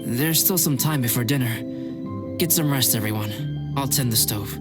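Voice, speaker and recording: A man playing a calm, modest young male alchemist in an anime game, polite and measured. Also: mid-range voice, lightly acted. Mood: calm, hospitable. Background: background music.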